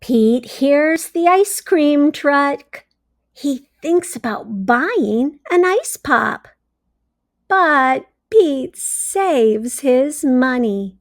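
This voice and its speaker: Female children's storyteller, high voice, very unhurried read-aloud delivery, close dry sound. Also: no echo. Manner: deliberate, simply phrased delivery for young children. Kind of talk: children's picture-book read-aloud with questions to listeners.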